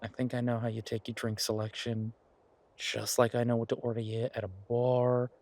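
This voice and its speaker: A man playing an affectionate, eager chef boyfriend in a romance audio roleplay, husky-voiced, teasing and earnest as he rambles to justify himself. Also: mid-range voice, monotone, normal speed, darkish tone, dry recording, close to an everyday voice.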